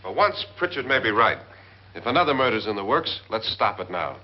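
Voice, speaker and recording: An actor playing a practical, suspicious guest, speaking bluntly in 1950s horror film style. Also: male voice, lowish voice, very animated, brisk. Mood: urgent, suspicious. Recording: muffled 1950s film soundtrack.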